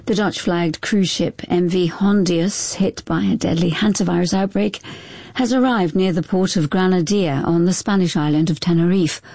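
A woman reading a scripted news bulletin in a crisp, even way. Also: on the low side, normal speed, no room sound. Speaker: newsreader.

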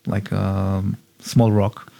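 A middle-aged man from Israel, a diamond company co-founder, answering interview questions in halting, simple phrasing with fillers. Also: deep voice, little pitch movement, creaky, dark tone, no echo.

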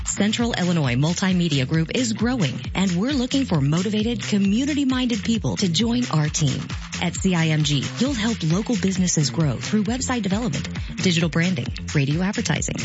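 Lively woman reading a radio commercial. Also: fairly low pitch. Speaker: ad announcer. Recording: lo-fi radio ad with music bed.

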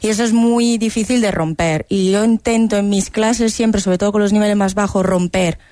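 A woman's voice: mid-range voice, steady tone, normal speed, dark tone, no room sound. A woman language teacher for whom Spanish is a second language, speaking confidently on a panel. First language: not Spanish. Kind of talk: panel discussion.

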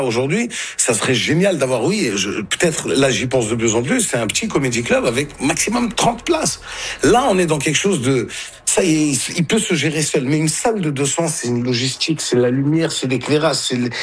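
A man's voice: medium pitch, slightly rough. A man from Ivory Coast, a comedian who owned a comedy club, telling stories with acted-out dialogue. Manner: animated storytelling, acts out dialogue. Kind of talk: interview answer with stand-up bits. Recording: music underneath.

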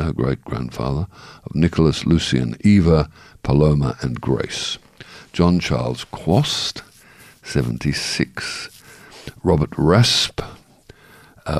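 A man reading out death notices, slowly and plainly, stumbling over names and correcting himself as he goes. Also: a bass voice, vocal fry, darkish tone, dry recording, clean studio sound. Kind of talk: reading obituary notices aloud.

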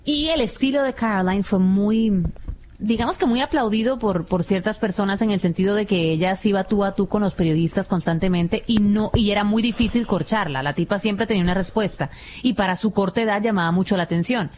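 A woman's voice: medium pitch, brisk, a little mellow. Woman chatting frankly over noise. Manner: informal, frank, drops in bits of English. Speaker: radio show panelist. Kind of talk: casual radio chat.

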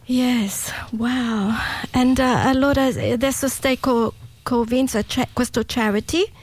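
A woman's voice: on the high side, fairly even intonation, unhurried. Bubbly woman radio host. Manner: warm, switches between Italian and English, laughs often. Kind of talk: bilingual community radio chat.

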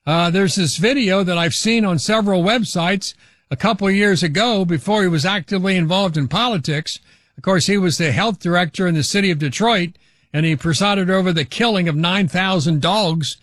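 Man, talk radio host, high voice, dry studio sound, opinionated, halting delivery. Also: a bit bright, dry recording. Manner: halting, restarts and fillers, opinionated. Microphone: studio microphone.

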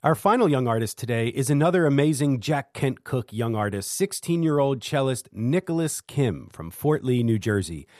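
A man hosting a classical music radio show and playing piano, speaking in a measured, encouraging way. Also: mid-range voice, animated, a little mellow, no echo. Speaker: radio show host and pianist. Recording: dry studio sound.

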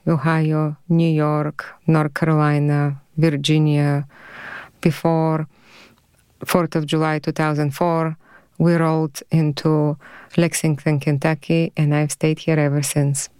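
Slow low-voiced Bulgarian woman. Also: mellow, smooth, no echo. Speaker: radio guest. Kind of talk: interview answers.

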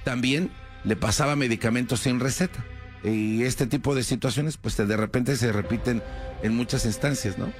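Man, true crime storyteller, conversational, filler-heavy, mid pitch, quiet music behind. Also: moderate pace. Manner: conversational, frequent "pues" fillers and tag questions.

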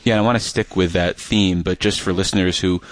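A man hosting a public radio interview, asking measured questions and explaining terms for listeners. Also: low voice, steady tone. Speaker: radio host.

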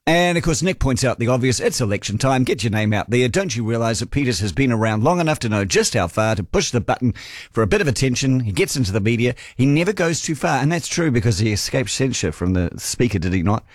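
A man's voice: mid-range voice, big pitch swings, fast, dry recording, clean studio sound. A man in his sixties with a New Zealand accent hosting talkback radio, chatty and opinionated as he reads out listener texts. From born in the UK, raised in New Zealand. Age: 60s. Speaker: radio talk host.

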